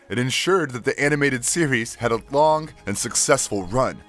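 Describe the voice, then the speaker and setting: A man reading scripted narration that recaps cartoon episodes. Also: mid-range voice.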